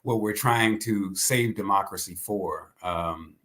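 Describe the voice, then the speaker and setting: A man with a fairly low voice speaks as an academic guest in an interview. His delivery is conversational but deliberate, often doubling back on words as he builds an argument. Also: fairly bright tone. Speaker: guest, history professor. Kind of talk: conversational expert commentary.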